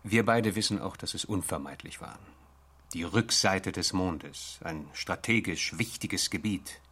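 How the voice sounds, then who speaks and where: An actor playing an uneasy space official reviewing secret tapes, hesitant and measured, in a radio play. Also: male voice, sits low, fairly bright tone, slightly rough, full character voice. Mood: measured, defensive.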